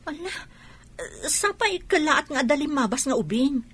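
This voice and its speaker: A woman's voice: high voice, lively intonation, measured pace, fairly bright tone. A woman playing an indignant, defiant young wife with an Ilocano Filipino accent in a radio drama. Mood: defensive, worried.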